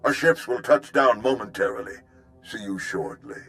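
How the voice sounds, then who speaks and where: An actor playing a courteous, dignified general who speaks formally and with stately manner in a game cutscene. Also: male voice, mid-range voice, expressive, moderate pace, close to an everyday voice. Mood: formal, apologetic. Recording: background music under the voice.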